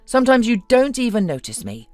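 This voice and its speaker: A woman's voice: on the high side, very animated, brisk, darkish tone, dry recording, faint music behind, pitched above the performer's own voice. A woman voicing a timid, resentful husband in a narrated story, with a trembling, meek, hurt delivery. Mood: hurt, resolute. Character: timid, resentful, long-humiliated husband, adult man.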